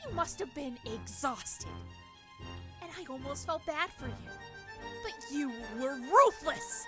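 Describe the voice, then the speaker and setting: A woman's voice: high voice, big pitch swings, unhurried, over music. A woman playing a cunning, bitter traitor in an audio drama, mocking and theatrical in her delivery. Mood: taunting.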